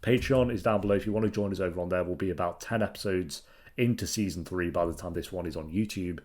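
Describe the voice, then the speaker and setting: A man's voice: low-pitched, quick pace, darkish tone. A young man hosting a reaction video, chatting casually and laughing easily. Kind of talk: casual commentary.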